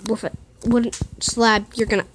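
A woman giving casual tutorial commentary while she builds in a game, counting blocks aloud and saying "boom" as she places them. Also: normal speed. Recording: steady background noise. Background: running water.

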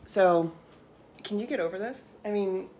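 A woman's voice: mid-range voice, unhurried, a little mellow. An American woman around forty chats enthusiastically and emphatically to camera, like a home cook showing off her groceries in a casual vlog. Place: small home kitchen, indoors. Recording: clearly noisy home room recording.

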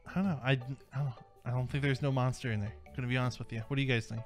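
A woman's voice: extremely low pitch, fairly even intonation, rapid-fire, music in the background. A woman hosting a casual game roleplay, leading her friends with a playful, teasing manner. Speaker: roleplay host.

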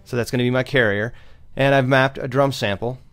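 A man presenting a step-by-step software tutorial in an explanatory, instructional way. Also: medium pitch, steady tone, a little fast, over loud music.

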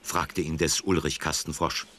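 A man's voice: a bass voice, little pitch movement, measured pace, pushed and bright, a little hiss or hum. A man narrating a scripted children's story as its storyteller. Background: prominent music.

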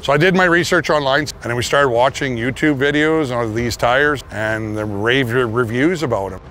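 A man's voice: mid-range voice, moderate pace, fairly bright tone, a little hiss or hum. A man, a farmer talking casually in a testimonial interview, recorded outdoors on a farm yard. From Canada. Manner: plain-spoken, casual, with restarts.

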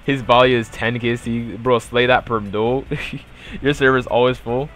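A man's voice: mid-range voice, level tone, measured pace, fairly bright tone, soft background music. A young man with a Bajan accent, chatting casually on a live game stream and answering his chat in loose, slangy banter. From Barbados. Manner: laid-back slangy banter, trailing off into mumbled fillers. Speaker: gaming livestreamer.

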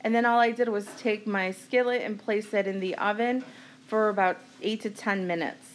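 A woman's voice: mid-range voice, fairly even intonation, normal speed, a little hiss or hum. A woman hosting a cooking vlog, chatting in a friendly way as she walks through each step in her home kitchen. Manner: friendly, explains steps plainly, with fillers. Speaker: cooking vlogger. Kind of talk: casual cook-along narration.

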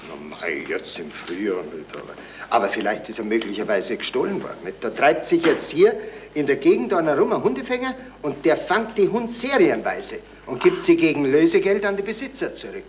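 A man's voice: medium pitch, very animated, a little fast, lightly acted. A man acting a down-to-earth, wry police detective in a folksy Bavarian accent, in a 1970s radio play. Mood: matter-of-fact. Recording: noisy, roomy vintage radio recording.

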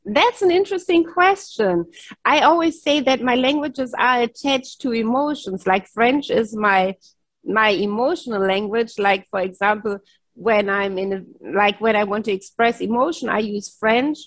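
A woman's voice: a little high, moderate pace, no echo. A middle-aged woman whose first language is German, chatting cheerfully over a video call on a headset from a home office and laughing often. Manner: cheerful, laughs often, many yes and right tags. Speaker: keynote speaker. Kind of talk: casual video-call chat.

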